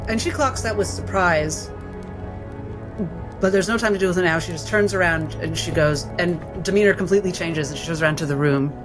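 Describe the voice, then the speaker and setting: A woman's voice: on the low side, a little fast, poor audio. A woman playing a tabletop role-playing game, narrating what her character does. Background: music.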